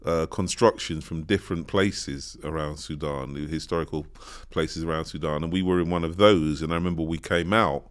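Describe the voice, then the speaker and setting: A man's voice: a bass voice, moderate pace, few pauses, smooth. A British man co-hosting a podcast in a studio, telling travel stories in casual chat and acting out quoted lines. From Britain. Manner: animated storytelling, acts out quoted lines. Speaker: podcast co-host.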